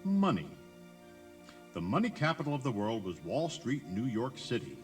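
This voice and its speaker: A man's voice: mid-range voice, huge pitch swings, moderate pace, soft background music. An American man narrating a history tour in a conversational, emphatic way, putting rhetorical questions straight to the listener. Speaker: audio tour guide narrator. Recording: 1983 cassette tour tape.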